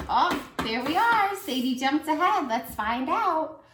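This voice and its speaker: A woman teacher reading a picture book aloud to a small child, lively and encouraging. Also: high-pitched, slow pace, reverberant, noisy background. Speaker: preschool teacher. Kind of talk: children's read-aloud lesson.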